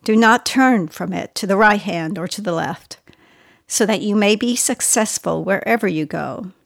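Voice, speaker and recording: A woman reading scripture aloud in a solemn, measured, deliberate way. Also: medium pitch, creaky, dry recording, clean studio sound. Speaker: scripture reader.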